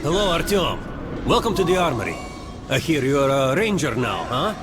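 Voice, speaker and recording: A friendly soldier quartermaster from a video game. His voice sits a little high, and he explains things in a chatty, genial way. Loud music plays behind. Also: big pitch swings, moderate pace. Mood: genial, instructive. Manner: genial, instructive, salesman-like. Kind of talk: video game tutorial dialogue.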